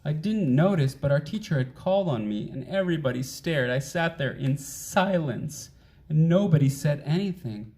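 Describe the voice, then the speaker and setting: A man voicing a wistful, self-deprecating uncool young bean in an expressive children's storybook reading. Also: on the high side, moderate pace, natural voice. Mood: earnest, hopeful. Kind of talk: children's picture book read aloud.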